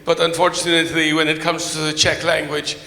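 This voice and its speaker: A man whose first language is Polish, moderating a panel in English, formal and courteous, halting with many fillers and restarts. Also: a little high, level tone, bright, forceful tone. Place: large conference hall with podium. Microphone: microphone. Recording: echoey hall with long reverb.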